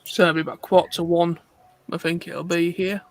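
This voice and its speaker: A man's voice: a little high, little pitch movement, fairly quick. A man taking part in a casual, informal group chat. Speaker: chat participant.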